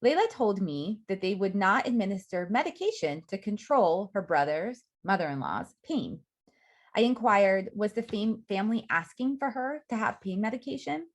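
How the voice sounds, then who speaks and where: A middle-aged woman gives an academic faculty talk in a measured way, reading prepared remarks with frequent ums. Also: medium pitch, animated. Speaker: academic speaker.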